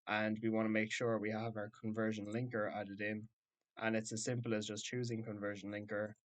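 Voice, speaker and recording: Male tutorial presenter, fairly low pitch, monotone delivery, instructional, matter-of-fact, step-by-step. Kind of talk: step-by-step software tutorial narration.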